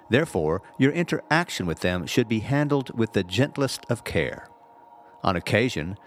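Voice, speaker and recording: A man reading a scripted spiritual teaching aloud in a measured, earnest, instructive manner, like an audiobook narrator. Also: lowish voice, slight background noise.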